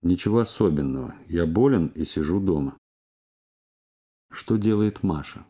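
Low, dark-voiced man reading drills. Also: expressive. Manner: slow, careful, clearly enunciated. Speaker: language course reader. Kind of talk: scripted language drill reading.

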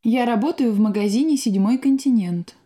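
A woman reading scripted sentences and exercise prompts for a language course in a clear, measured way. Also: on the high side, mellow. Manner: clear, measured, textbook delivery.

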